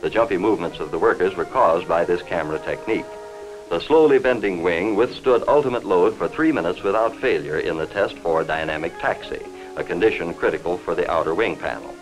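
An American man reading formal, measured, factual scripted narration in the style of a 1950s industrial newsreel, on a vintage film soundtrack. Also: low-pitched, music in the background, low-quality recording.

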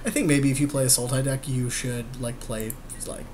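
A man's voice: mid-range voice, fairly even intonation, normal speed. A man chatting casually as a gameplay podcast co-host, agreeable and joking, with some swearing. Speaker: podcast co-host commenting on card game. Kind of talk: casual chat, gameplay commentary. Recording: clear background noise.

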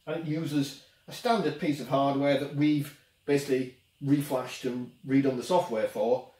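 A man's voice: mid-range voice, steady tone, moderate pace, darkish tone. A middle-aged man gives a casual, unscripted product demonstration in a plain-spoken, explanatory way. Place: small office workshop, indoors. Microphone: camera a few metres away. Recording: roomy echo, distant camera microphone.

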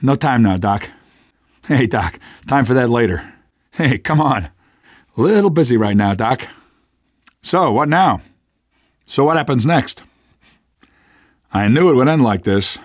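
An actor playing a cocky, stubborn rebel fighter in a video game, casual, streetwise and gravelly. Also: male voice, medium pitch, animated, measured pace, long pauses, no echo, studio quality. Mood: wry, uneasy.

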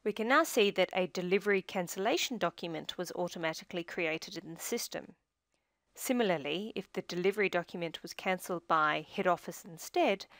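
Woman, slightly low pitch, scripted tutorial narrator, measured instructional delivery, dry close-miked sound. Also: no room sound. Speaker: software demo narrator.

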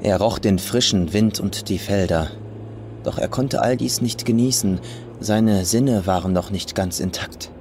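A man's voice: fairly low pitch, measured pace, darkish tone, a little hiss or hum. A man reading a scripted horror story as a dramatic audiobook narrator. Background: faint atmospheric music.

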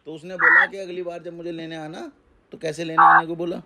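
A man's voice: fairly high pitch, flat delivery, brisk, pushed and bright. A man speaking as a guru advising a caller in casual phone talk, giving instructions and often checking he is understood. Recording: phone call recording.

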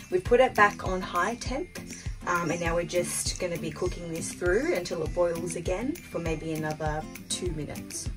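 A cheerful young woman from Australia, explaining a recipe step by step in her caravan kitchen. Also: on the low side. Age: young adult. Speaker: vlogger cooking in a caravan. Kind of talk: casual cooking tutorial.

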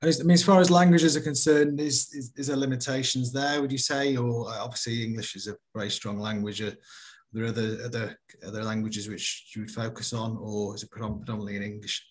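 A man's voice: medium pitch, fairly even intonation, fast talker. A man moderating a webinar panel, asking questions with small restarts over a video call.

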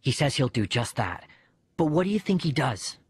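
A man voicing a bereaved young boy who narrates his diary letters in an expressive, conversational audiobook reading. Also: medium pitch, normal speed, breathy edge, dry recording, clean studio sound. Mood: animated, incredulous.

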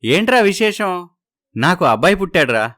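A man's voice: sits high, sing-song, moderate pace, bright, forceful tone, dry recording, clean studio sound, full character voice. A man voicing a cheerful, teasing office colleague in an audio story.

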